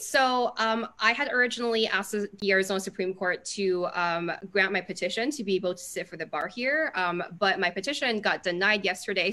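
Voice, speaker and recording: A young woman from France whose first language is Mandarin joins a video call from her living room. Her voice is bright and cheerful, with frequent "um"s. She answers as a lawyer and activist guest. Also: mid-range voice. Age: young adult. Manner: cheerful, with many "um"s. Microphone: video call webcam.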